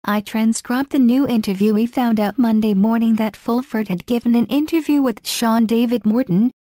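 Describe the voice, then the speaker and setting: A woman reading a blog article aloud in a steady, even manner. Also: a little high, normal speed, no echo, clean studio sound.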